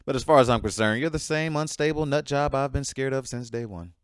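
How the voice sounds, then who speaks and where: An actor playing a teasing bar regular in a radio drama, laconic and deadpan. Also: male voice, mid-range voice, fairly quick, clear, resonant tone, no room sound, studio quality, lightly acted. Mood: dry teasing.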